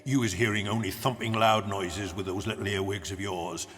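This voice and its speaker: An actor playing a gentle, childlike old giant, mangling his grammar and savouring invented words in a children's audio drama. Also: fairly low pitch, fairly even intonation, moderate pace, big-room sound, close to an everyday voice. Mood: proud, wondering.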